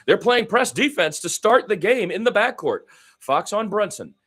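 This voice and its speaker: A man's voice: high-pitched, sing-song, normal speed, fairly bright tone, breathy edge. A man doing amateur radio-style basketball commentary in a conversational, folksy manner. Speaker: play-by-play commentator.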